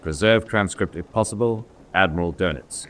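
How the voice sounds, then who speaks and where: Casting: male actor as senior naval officer, low voice, formal and in command, radio drama, faint noise. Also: normal speed, close to an everyday voice. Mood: businesslike.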